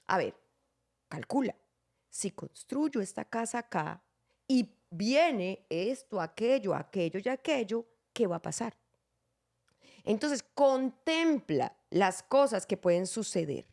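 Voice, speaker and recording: A woman's voice: mid-range voice, lively intonation, slow pace, gravelly, darkish tone. Earnest woman explaining Bible teaching. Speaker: host.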